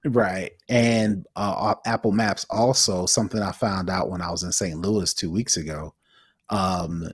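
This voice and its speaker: A man's voice: low-pitched, fairly even intonation, measured pace, slightly rough, no echo, clean studio sound. A man co-hosting a tech podcast, chatting casually and hesitantly with many fillers. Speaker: podcast co-host.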